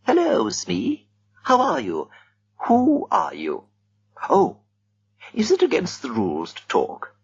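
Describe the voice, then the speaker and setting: A man voicing a nervous, genteel young woman at a party, talking softly and anxiously. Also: high voice, huge pitch swings, slow, gravelly, long pauses, breathy edge, dull audio, voice pushed up, exaggerated voice. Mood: uneasy.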